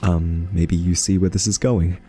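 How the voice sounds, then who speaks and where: A man playing a quirky scientist in an ASMR roleplay, soft-spoken and hesitant, gently patronizing as he coaxes a captive AI. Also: low voice, fairly even intonation, faint music behind. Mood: patient, coaxing. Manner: hesitant, halting, politely condescending.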